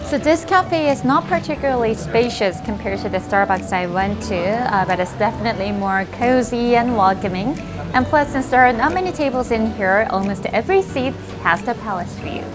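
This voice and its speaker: A bright, fairly high-voiced young woman chats cheerfully to camera as she tours cafes, gasping with delight and exclaiming at the views. She talks on a clip-on mic with background music playing underneath. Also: animated, moderate pace. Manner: bubbly and exclamatory, with gasps of delight. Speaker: travel video host. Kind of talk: upbeat on-camera travel vlog. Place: walking through cafes and streets, indoors and out.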